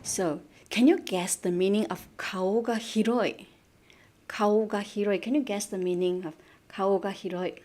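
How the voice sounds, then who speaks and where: A woman's voice: medium pitch, fairly even intonation, a little slow, warm tone. A woman from Malaysia teaching Japanese idioms in a live online lesson, repeating words and asking viewers questions, switching between English and Japanese.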